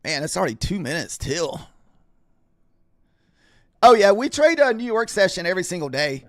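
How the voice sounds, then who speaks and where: A man hosting a trading live stream, chatting loosely with viewers and clearing his throat, his voice a little scratchy from talking all week. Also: high voice, very animated, moderate pace, fairly bright tone, no room sound, clean studio sound. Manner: rambling, chatty, talks to viewers in chat. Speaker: trading livestream host. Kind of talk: casual live-stream chat.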